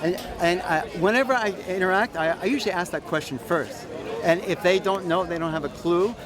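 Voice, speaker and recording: An American man gives a spontaneous outdoor interview in a somewhat high-pitched voice. He is emphatic and sure of himself, often repeating a phrase to drive it home. A crowd is clearly audible around him. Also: brisk. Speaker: activist. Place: outdoors at a public rally. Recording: outdoor crowd noise behind voice. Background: crowd chatter.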